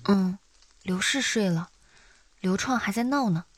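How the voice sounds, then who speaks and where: A woman playing a gentle young mother of small children in a radio drama. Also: medium pitch, unhurried, dry recording, lightly acted, poor audio. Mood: hushed, caring. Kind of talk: Chinese audio drama acting.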